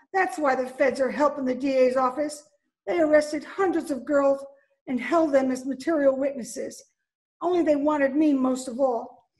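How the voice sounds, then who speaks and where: A jittery, streetwise gangster's girlfriend, played by a woman in a radio crime play. She speaks high, in slangy, pleading lines, recorded from home over a video call. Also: darkish tone, natural voice, poor audio. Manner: breathless pleading, slangy "gee" and "ya". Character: frightened young gangster's moll, pleading and streetwise. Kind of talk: old-time radio play acting.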